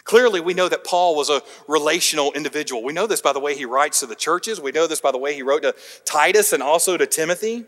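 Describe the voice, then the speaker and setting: Man, pastor, sermon, higher-pitched voice, emphatic then hushed, church sanctuary, long reverb tail. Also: fast talker, few pauses. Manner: earnest preaching with rising emphatic peaks and quiet dropped endings; light self-deprecating humor.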